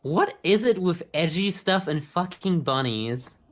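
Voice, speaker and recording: A man's voice: on the high side, lively intonation, a little slow. A man with a sarcastic, slangy way of talking, chatting and joking as a podcast co-host. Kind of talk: casual, joking chat and reactions.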